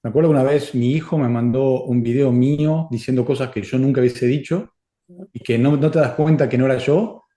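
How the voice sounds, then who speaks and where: A man's voice: mid-range voice, fairly even intonation, brisk, mellow. Middle-aged man, echoey room. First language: Spanish. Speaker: speaker answering audience questions. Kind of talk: casual Q&A talk.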